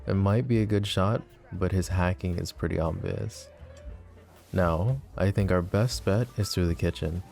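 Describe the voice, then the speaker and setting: Casting: a man to play a scheming, possessive yandere mafia boss for ASMR roleplay; dark, relaxed low voice, smooth and teasing. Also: unhurried, faint music behind. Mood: polite charm. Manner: smooth, teasing, mock-polite. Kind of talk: intimate ASMR roleplay acting.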